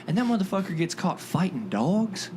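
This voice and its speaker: A man in his thirties in crude, profane banter, loud and laughing a lot, in a band's jam room. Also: sits high, sing-song, moderate pace. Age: 30s. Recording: noisy room recording.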